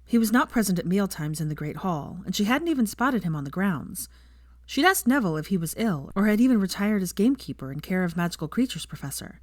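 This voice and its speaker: A woman's voice: medium pitch, darkish tone, dry recording. A woman reading fiction aloud as a scripted audiobook narration, recorded close to the microphone.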